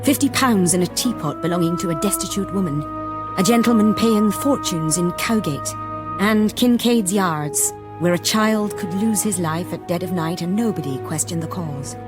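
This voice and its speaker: An actress playing a sober investigator, narrating a gothic radio drama in a grave, measured way. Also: female voice, lowish voice, lightly acted. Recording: music behind.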